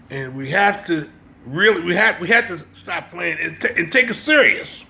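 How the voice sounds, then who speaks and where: Male American preacher, forceful bright tone with creaky edge, urgent exhortations, off-the-cuff teaching. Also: a little high. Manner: emphatic, exhortative, repeatedly checking listeners understand. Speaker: religious teacher. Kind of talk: impromptu sermon with brief reading.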